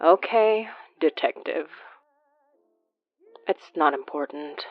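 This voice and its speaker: An actress playing a guarded, wry woman in naturalistic audio drama dialogue, speaking in a halting, understated way. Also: low voice, no room sound, phone-quality sound, faint music behind.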